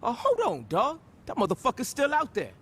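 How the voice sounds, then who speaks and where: High, raspy, hot-headed gangster. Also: male voice, wildly swooping pitch, moderate pace, fairly bright tone, heavily acted. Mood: indignant. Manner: indignant, pleading. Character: hot-headed, impatient young male gangster. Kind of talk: video game cutscene acting.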